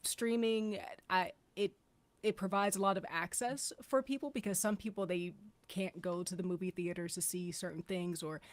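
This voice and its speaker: A woman's voice: fairly low pitch, steady tone, moderate pace, no room sound. A woman explaining her views colloquially in a casual panel discussion.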